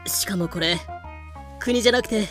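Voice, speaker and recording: An exasperated, level-headed pirate, acted by a man, with a high, bright voice. He delivers dry retorts in an audio drama. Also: normal speed. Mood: matter-of-fact. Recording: music bed behind voice.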